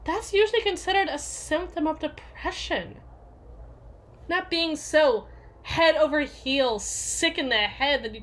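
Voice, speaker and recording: A woman playing a domineering, conniving young woman, possessive and jealous, in a theatrical, sardonic ASMR roleplay monologue with haughty, drawn-out emphasis. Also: high voice, bright, forceful tone, exaggerated voice.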